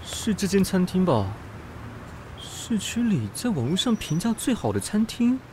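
Melodramatic, fussy city snob, wildly swooping. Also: male voice, sits high, big pitch swings, a little slow, a little mellow, a little hiss or hum. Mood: grumbling, disdainful. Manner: melodramatic, sarcastic, swinging from muttering to shrieking. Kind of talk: radio drama acting with inner monologue.

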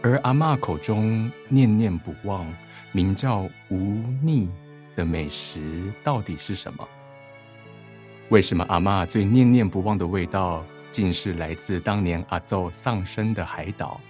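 A man narrating a radio drama from a script, measured and dramatic. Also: low-pitched, darkish tone. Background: music.

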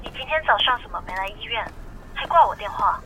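Sharp, stern bossy sister. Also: female voice, mid-range voice, lively intonation, quick pace, slight background noise, rough recording. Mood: stern, accusing. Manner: sharp, interrogating. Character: stern, suspicious young woman, bossy busy sister. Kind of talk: modern romance radio drama acting.

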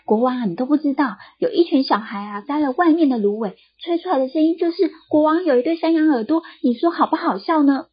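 A woman's voice: high voice, quick pace, few pauses, highs cut off, voice pushed up, close to an everyday voice. A woman voicing amused, gossiping townsfolk in a storybook tale, speaking in a chatty way.

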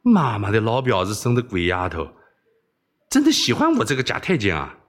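A man's voice: lowish voice, wildly swooping pitch, normal speed, fairly bright tone. A man voicing a cheeky, foul-mouthed young rogue posing as a palace eunuch, brash and exclamatory, in audiobook storytelling. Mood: bewildered.

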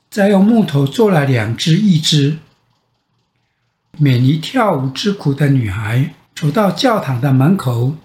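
An older man with a Taiwanese Mandarin accent tells a bedtime fairy tale as a grandfather, with dramatic pauses and repetition. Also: sits high. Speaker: grandfather storyteller. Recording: roomy echo.

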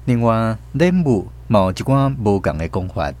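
Low-voiced Taiwanese male radio host. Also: big pitch swings, moderate pace, darkish tone. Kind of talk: scripted radio segment introduction.

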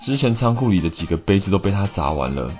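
Man as low, animated, teasing bartender. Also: quick pace. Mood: wry. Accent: Taiwanese Mandarin. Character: teasing, bossy, long-suffering bartender coworker. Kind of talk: audio drama acting. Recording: slightly dull audio with music.

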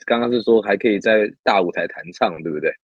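A husky male host with a lowish voice, encouraging and playful, steering a relaxed interview. The sound is dry and close, with faint music underneath. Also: fast talker, no room sound, muffled recording. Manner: encouraging, frequent murmured agreement, playful. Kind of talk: casual interview hosting.

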